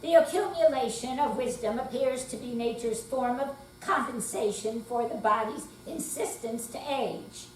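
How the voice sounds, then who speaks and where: A woman's voice: fairly high pitch, normal speed, few pauses. An older woman giving a scripted dramatic reading as a readers theater performer. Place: hall at a senior center. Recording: echoey room, distant mic, faint hum.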